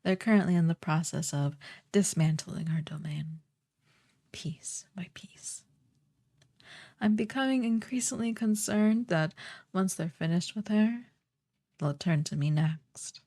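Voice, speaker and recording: Evil sorceress queen, played by a woman: lowish, mellow and breathy, unhurried, haughty and ruthless, for fantasy ASMR roleplay. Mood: haughty explaining. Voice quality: soft, breathy, partly whispered. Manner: slow, condescending, commanding.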